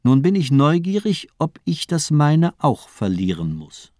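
A murderous doctor in a radio crime play. His delivery is precise and lecturing, with a lively, swooping melody that turns cold menace into mocking amusement. The sound is dry, with no room on it. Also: a little high, a little slow, no echo. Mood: cold, taunting. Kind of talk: radio crime drama acting.

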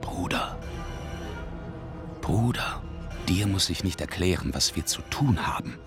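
Grim storyteller, very low voice, solemn, slow, radio drama, faint music. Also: male voice, lively intonation. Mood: grim, conspiratorial. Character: grim male storyteller of biblical night-time revenge. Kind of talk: radio play narration. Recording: music under the voice.

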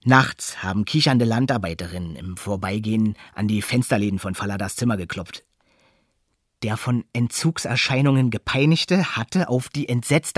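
An actor playing a sober eyewitness who looks after an addict, narrating a radio play in a factual, measured way. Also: male voice, on the low side, dry recording, studio quality.

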